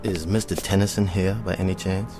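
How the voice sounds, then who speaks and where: An actor playing a self-assured older colonel with a courtly, dry manner in a radio drama. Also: male voice, low-pitched, little pitch movement, a little fast, over music.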